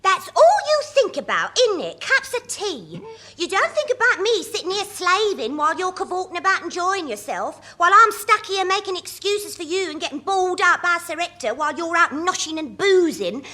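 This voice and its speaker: Radio sitcom secretary: young, English, high and lively, forever aggrieved and chatty. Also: female voice, moderate pace, exaggerated voice. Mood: fed up. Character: bored, grumbling young female office secretary, Mildred. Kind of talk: British radio sitcom acting. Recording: studio radio comedy recording.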